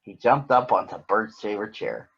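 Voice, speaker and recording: A man with a husky voice reads a story aloud for children. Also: lowish voice, moderate pace, fairly bright tone, dull audio. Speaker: storybook reader. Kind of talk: read-aloud narration for children.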